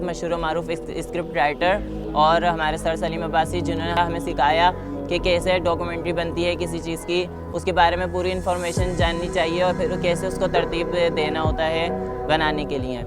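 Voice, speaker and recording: A man with a fairly high voice gives a student testimonial to camera. His pitch moves little, and music plays clearly behind him. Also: flat delivery, normal speed. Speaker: student on a field trip. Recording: music playing over the voice. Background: background music.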